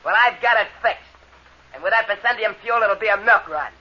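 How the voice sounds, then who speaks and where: A man's voice: sits high, brisk, natural voice. An actor playing a deranged, jealous spaceship power man in 1950s radio drama, taunting and threatening. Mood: gloating, bitter. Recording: dull vintage radio recording.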